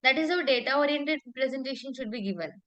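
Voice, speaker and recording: A woman's voice: high voice, animated, a little fast, fairly bright tone, dull audio. A woman coaching in conversation, speaking emphatically, repeating phrases and often checking for agreement.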